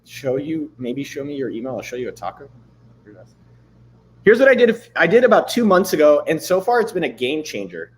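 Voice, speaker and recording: A man in his thirties hosting a live stream, chatting loosely and swearing casually, a bit hoarse from losing his voice. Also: a little high, very animated, moderate pace. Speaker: livestream host. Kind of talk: casual livestream chat with screen demos.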